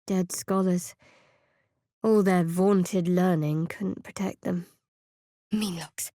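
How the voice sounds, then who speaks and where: A woman playing a guarded, sardonic young cleric of a dark goddess in a fantasy video game, speaking in a dry, measured, wry way. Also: lowish voice, fairly even intonation, no room sound. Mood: grave, quiet.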